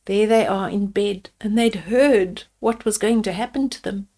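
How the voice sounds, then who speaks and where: An older woman reading a bedtime fairy tale aloud to young children, deliberately, with explanatory asides about the pictures. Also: mid-range voice, warm tone.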